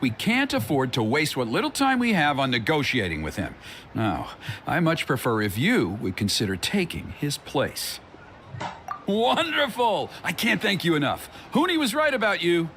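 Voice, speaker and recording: A chatty, exasperated tribesman from a video game. His slightly high voice rises and falls dramatically with wry grumbling, over faint background sound. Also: wildly swooping pitch, moderate pace, a bit bright, a little hiss or hum, full character voice. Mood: persuasive. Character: exasperated, gossipy tribesman organising a ceremony. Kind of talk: video game character acting.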